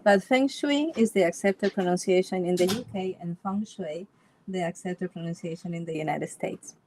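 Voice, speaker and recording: A woman's voice: lowish voice, normal speed, a little mellow, a little hiss or hum, rough recording. Woman from Ecuador telling her story. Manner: storytelling with frequent restarts and light laughs. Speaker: Feng Shui consultant, interview guest. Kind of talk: casual interview chat.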